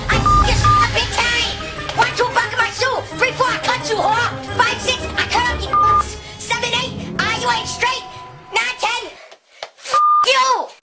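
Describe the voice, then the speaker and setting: A performer voicing a foul-mouthed, menacing villain puppet that hurls threats and taunts in a comic sketch. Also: very high voice. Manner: shrieked threats and profane taunting rhymes. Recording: loud music drowning the voice.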